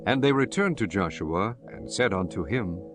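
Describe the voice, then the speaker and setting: Guy with a lowish voice reading the bible, solemn and measured, music playing under him. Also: lively intonation. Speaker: narrator. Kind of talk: scripted dramatized scripture narration. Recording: music behind the voice.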